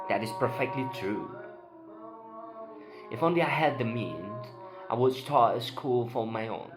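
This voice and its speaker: A man's voice: mid-range voice, poor audio. A young man giving an amateur dramatic reading of a play. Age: young adult. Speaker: student reader of all parts. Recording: voice over prominent background music.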